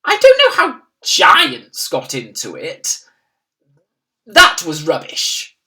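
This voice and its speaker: A woman's voice: sits low, wildly swooping pitch, slow, bright, forceful tone, distant mic, voice pushed up, exaggerated voice. A woman voicing an elderly, upper-class queen who is refined and easily alarmed, speaking in a posh, exclaiming manner. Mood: pensive.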